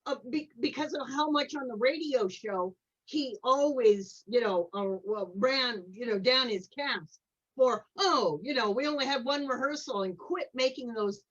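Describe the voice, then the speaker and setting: High-pitched woman on dull, lo-fi audio. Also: a little slow, fairly bright tone. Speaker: podcast panelist. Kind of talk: casual chat.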